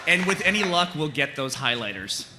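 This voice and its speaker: A man's voice: fairly high pitch, very animated, a little fast. A man hosting a live convention panel, explaining and chatting casually to the audience as a series creator. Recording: live hall, faint crowd and noise.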